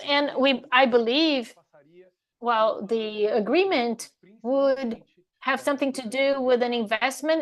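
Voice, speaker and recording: A woman simultaneously interpreting a panel speaker into English, in halting, fragmentary phrases as she keeps pace with him. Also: on the high side.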